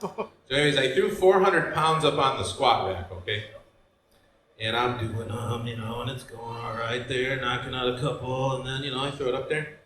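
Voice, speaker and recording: A man in his forties from Michigan, with a Michigan accent, doing a deadpan stand-up set in a brewery with loose asides to the crowd. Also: medium pitch, moderate pace, slight background noise. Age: 40s. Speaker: stand-up comedian. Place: brewery stage before a live audience. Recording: roomy live venue sound.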